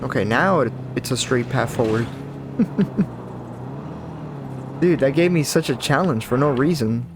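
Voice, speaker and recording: A man chatting casually over his gameplay in a deeper, just-woke-up morning voice, rambling and correcting himself as he goes. His pitch sits in the middle, and loud game audio plays clearly behind him. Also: lively intonation, unhurried, long pauses, background noise. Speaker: gaming YouTuber. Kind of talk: casual let's-play commentary.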